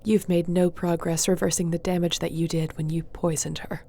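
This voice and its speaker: An actress playing a wronged, defiant sorceress in a fantasy audio drama, speaking with earnest intensity. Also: female voice, fairly low pitch, little pitch movement, moderate pace, mellow. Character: wronged, defiant sorceress pleading her innocence.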